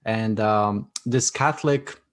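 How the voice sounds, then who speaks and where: Male guest, Polish first language, lower register, halting conversational delivery. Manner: hesitant, repeats words, many fillers. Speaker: guest author and speaker. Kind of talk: casual interview conversation.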